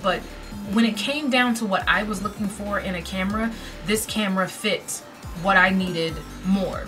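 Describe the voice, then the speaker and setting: A woman's voice: medium pitch, recorded from a distance. A woman in her thirties vlogging casually to camera in her bedroom, with a projecting voice. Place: dim bedroom with string lights. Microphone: built-in camera mic.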